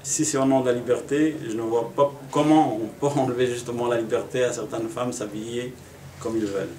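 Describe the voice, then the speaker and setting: Homme d'âge mûr d'origine marocaine, pièce résonnante. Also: male voice, mid-range voice, fairly even intonation, a little mellow. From Morocco. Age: middle-aged. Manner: earnest, measured, with frequent pauses and fillers. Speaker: municipal election candidate. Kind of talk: interview answers. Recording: echoey room.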